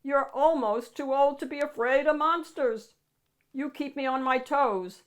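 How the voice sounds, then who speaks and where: A woman's voice: high voice, unhurried, pitched above the performer's own voice. A woman voicing a hungry male storybook monster, growly and fond, with politely playful menace. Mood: affable.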